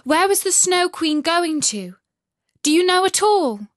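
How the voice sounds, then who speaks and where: A woman voicing a gentle, anxious young girl in a storybook reading. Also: very high-pitched, very animated, measured pace, dry recording, clean studio sound, voice pushed up, exaggerated voice.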